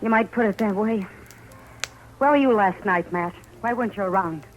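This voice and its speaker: A woman's voice: medium pitch, normal speed, muffled recording, close to an everyday voice. An actress playing a wounded, blunt saloon woman with a reproachful manner, in 1950s radio drama style.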